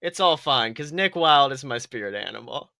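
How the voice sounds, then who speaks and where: A young man co-hosting a podcast in casual comedic chat, riffing in an animated, profane way. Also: medium pitch, moderate pace, vocal fry, dry recording, clean studio sound. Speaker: podcast co-host.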